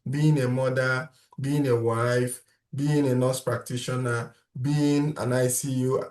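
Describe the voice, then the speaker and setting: A man's voice: medium pitch, level tone, a little slow, a little mellow. A man hosting a casual interview, asking long, rambling questions and often checking whether he is understood. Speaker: interview host. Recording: echoey room.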